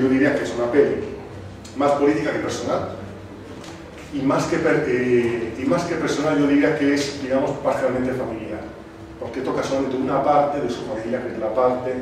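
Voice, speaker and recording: A man from Sardinia, presenting a film to a cinema club audience, thoughtful and full of pauses and restarts. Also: mid-range voice, fairly even intonation. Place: cinema club screening room. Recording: echoey room, distant mic, noisy.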